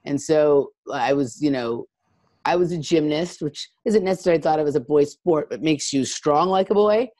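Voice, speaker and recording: A woman with a deep voice speaking candidly and reflectively in a discussion, with lots of fillers. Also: moderate pace, warm tone. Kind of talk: conversational discussion.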